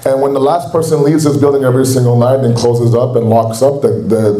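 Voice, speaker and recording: A man with a Canadian accent delivers an earnest, exhorting sermon in a mellow, relaxed voice. His words ring out through an echoey hall at a community center. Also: mid-range voice. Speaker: speaker at a religious gathering.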